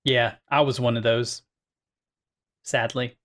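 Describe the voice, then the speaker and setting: A man's voice: mid-range voice, flat delivery, slow, a bit bright, no echo. Male co-host with dry short quips. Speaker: podcast co-host. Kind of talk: casual reaction chat.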